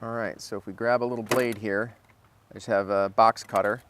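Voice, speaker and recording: A man's voice: on the low side, flat delivery, brisk, fairly bright tone, a little hiss or hum. An American man hosting a DIY car video, narrating a how-to casually and informally, thinking aloud as he works. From Michigan, United States.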